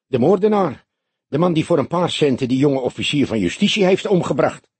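A male reader plays a small, loyal café owner from the criminal underworld in an audiobook. The voice is husky and animated, earnest with an edge of venom. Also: fairly high pitch, fairly quick, no echo, voice pushed up. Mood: confiding.

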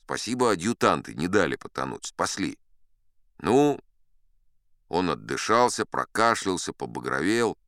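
A man's voice: low voice, big pitch swings, unhurried, fairly bright tone, no room sound, clean studio sound, heavily acted. A man playing an older sea captain who spins a yarn in a dramatized audiobook, wry, boastful and conversational. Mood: wry, unhurried. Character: old sea captain storyteller, folksy, boastful, dignified.

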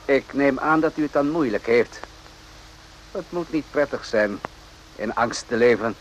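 An actor playing a courteous but probing army captain in a radio play, questioning in a measured, pointed way. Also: male voice, medium pitch, slight background noise, lightly acted, rough recording. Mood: insinuating.

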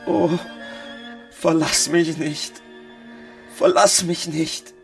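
An actor in a radio drama playing a desperate, devoted husband who pleads in an agitated, helpless way. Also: male voice, fairly high pitch, level tone, drawn-out words, creaky, faint music behind, heavily acted. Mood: pleading, grieving. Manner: pleading, agitated, repeating himself. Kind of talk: literary radio drama acting.

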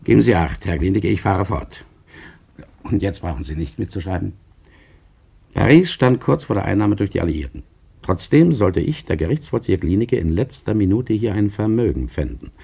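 A condescending interrogator in a radio drama. His voice is low, dark and husky, and he presses on with deliberate, insinuating insistence. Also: expressive, exaggerated voice. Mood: probing. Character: insistent, condescending male interrogator, probing and soothing by turns. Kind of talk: German radio play acting.

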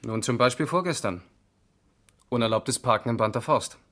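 Actor as probing, formal police lieutenant. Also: male voice, medium pitch, moderate pace, fairly bright tone, natural voice. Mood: dry, formal. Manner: formal, pointed, insistent. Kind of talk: 1970s German radio crime drama acting.

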